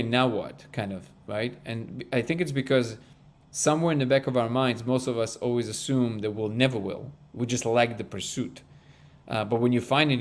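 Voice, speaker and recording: A man who grew up in Russia, hosting a live discussion stream, chatting casually and earnestly with viewers and reading out their comments as he goes. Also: mid-range voice. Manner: rambling, restarts, animated and earnest. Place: Southern California.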